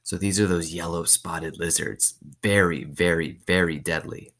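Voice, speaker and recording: A man's voice: low voice, steady tone, unhurried, fairly bright tone. A man reading a novel aloud with suspense, explaining it in casual asides.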